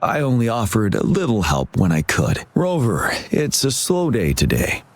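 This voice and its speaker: A man's voice: lowish voice, huge pitch swings, moderate pace, full character voice. A man voicing a dutiful young military commander in a video game, speaking in a polite, composed and formal way. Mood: polite, modest. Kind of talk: video game character dialogue.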